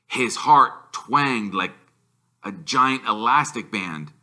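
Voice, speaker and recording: Male teacher as storybook narrator: mid-pitched, very bright, animated delivery. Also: slow. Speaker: teacher reading aloud.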